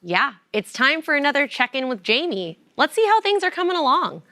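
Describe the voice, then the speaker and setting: A woman's voice: sits high, sing-song, brisk, bright, forceful tone, dry recording. A woman co-hosting a live tech event stream, bubbly and playful in her banter. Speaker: event co-host.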